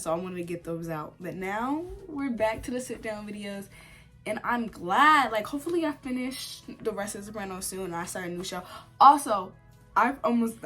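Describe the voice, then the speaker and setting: A young woman vlogger with a congested cold, chatting at home in a sit-down video and giving opinionated takes full of "like" and sudden animated outbursts. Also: mid-range voice. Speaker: vlogger reviewing a TV series. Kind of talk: casual sit-down chat to camera.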